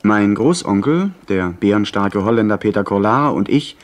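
Man playing a young gent adventurer in a radio drama, lowish voice, tells it like a well-read storyteller. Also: animated, moderate pace, lightly acted. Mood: measured storytelling. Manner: literate, clearly phrased storytelling. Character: young gentleman adventurer narrating his own sea voyage. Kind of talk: scripted radio play narration and dialogue.

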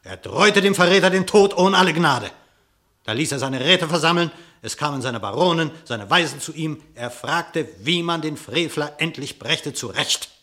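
A man narrating a verse animal fable for radio, declaiming in an expressive, theatrical way. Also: on the high side, moderate pace.

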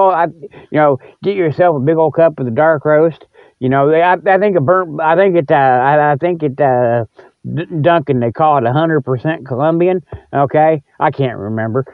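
High-pitched folksy man, rambling radio host. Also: no room sound, phone-quality sound. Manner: folksy, colloquial, teasing the listeners. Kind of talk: casual rambling comic monologue. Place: cold spot, apparently outdoors.

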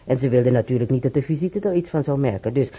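A woman's voice: very deep voice, quick pace, warm tone, muffled recording. A woman playing a talkative, wry old hospital patient and former maid in a radio drama, rambling colloquially and muttering to herself. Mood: indignant, amused. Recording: clearly noisy old radio recording.

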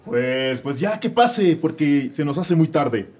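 A man's voice: a little high, expressive, takes it slowly, darkish tone. A man playing a bossy, corrupt male judge in a Mexican radio comedy sketch. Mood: smug. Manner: bossy, exasperated.

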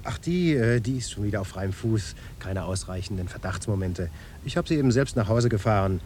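An actor playing a gruff, casual police detective in a colloquial radio play dialogue. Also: male voice, lowish voice, animated, fairly quick, darkish tone, slight background noise, close to an everyday voice. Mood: offhand, placating.

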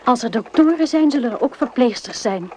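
A compassionate, worried young married woman in a radio play voiced by an actress. Her voice sits a little high and stays earnest and restrained. There is faint noise on the old recording. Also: animated, fairly quick, darkish tone, natural voice. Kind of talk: Dutch radio play acting.

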